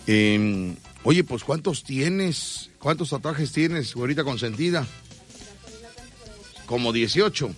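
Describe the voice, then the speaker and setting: Teasing Mexican radio host. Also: male voice, mid-range voice, expressive, takes it slowly, faint music behind. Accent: Mexican Spanish. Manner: teasing and jokey, often laughing mid-sentence. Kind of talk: joking live radio banter. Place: radio studio.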